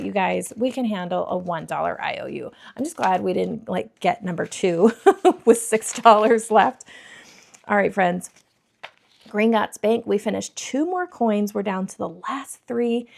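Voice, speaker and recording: A woman in her thirties with a croaky, scratchy throat, chatting to viewers as she counts cash for savings challenges. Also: medium pitch, a little mellow. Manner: bubbly, addresses viewers as friends, counts money aloud. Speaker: budgeting vlogger.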